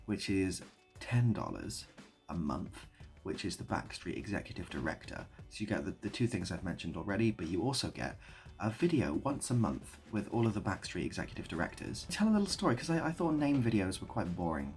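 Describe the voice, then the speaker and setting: A man talking casually to camera in a rambling, self-deprecating way with deadpan whimsy. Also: low-pitched, fairly quick, soft background music. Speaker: video creator and host. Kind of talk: casual improvised monologue to camera.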